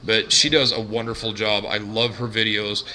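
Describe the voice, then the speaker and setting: A man hosting a casual couponing vlog, talking in a droning, monotone way with lots of fillers and restarts. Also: normal speed, low-quality recording. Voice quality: bassy. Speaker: couponing YouTuber. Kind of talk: casual vlog shout-outs.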